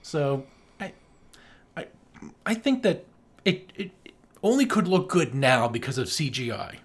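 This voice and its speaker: A man's voice: medium pitch, sing-song, measured pace, husky. A man co-hosting a podcast, chatting casually about a film in a slangy, joking, sweary way. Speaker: podcast co-host. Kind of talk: casual film review chat.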